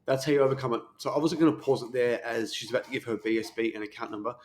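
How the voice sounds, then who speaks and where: A man giving coaching commentary on sales technique, talking fast with the occasional stutter. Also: mid-range voice, little pitch movement.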